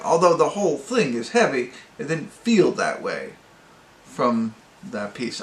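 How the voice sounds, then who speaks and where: A man's voice: medium pitch, vocal fry, fairly bright tone. A man giving a casual, off-the-cuff review as host, rambling and hesitant as he searches for words. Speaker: amateur movie reviewer and host. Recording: roomy echo, faint background noise.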